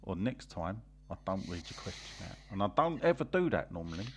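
Low, creaky, animated male podcast host. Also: brisk. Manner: blunt, teasing, sweary. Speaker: host of a football fan podcast. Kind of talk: casual banter, reading out listener questions.

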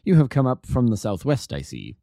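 A man reading the part of a calm, self-assured, aloof detective in an audiobook, in measured, formal speech. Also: mid-range voice, dry recording, studio quality. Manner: measured, formal, matter-of-fact. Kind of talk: audiobook character dialogue.

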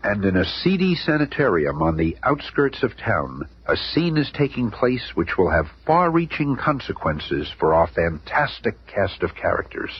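A man's voice: on the low side, very animated, normal speed, few pauses, husky. A man narrating a superhero radio serial in a grandiose, theatrical storytelling style. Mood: dramatic. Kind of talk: dramatic scripted radio narration. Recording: noisy, muffled old radio recording.

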